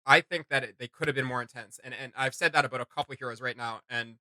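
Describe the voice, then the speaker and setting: A man's voice: mid-range voice, quick pace, pushed and bright, no room sound. A man hosting a reaction video, giving casual, emphatic and opinionated commentary.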